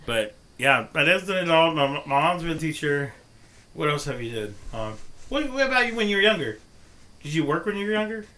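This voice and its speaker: A man in his thirties hosts a relaxed family conversation. His voice is bright and a bit high, and he fires off quick, joking questions. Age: 30s. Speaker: vlog host. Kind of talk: casual family chat.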